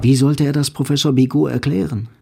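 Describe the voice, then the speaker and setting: An actor as a sober male narrator tells a literary story. His voice is medium in pitch and noticeably creaky. Faint music plays beneath the narration. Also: steady tone, fairly quick, dark tone, natural voice. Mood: sober, reflective. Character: sober third-person narrator of a troubled love story.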